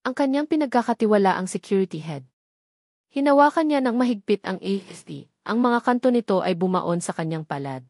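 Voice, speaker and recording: A woman reads scripted fiction in a dramatic, measured storytelling manner, in short weighted phrases. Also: medium pitch, lively intonation, a little mellow, no room sound, studio quality. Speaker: story narrator.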